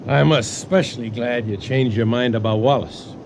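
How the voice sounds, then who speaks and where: An actor in a radio drama playing a respectful, matter-of-fact maritime officer reporting to a superior. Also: male voice, medium pitch, fairly even intonation, moderate pace, noisy background, lightly acted. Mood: earnest, grim.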